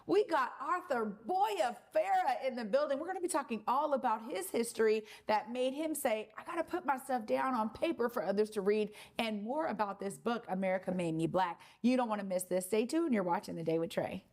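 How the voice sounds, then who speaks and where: A woman's voice: a little high, a little fast, hardly any pauses. A woman hosting a talk show, bubbly and enthusiastic, who laughs easily as she introduces and interviews guests.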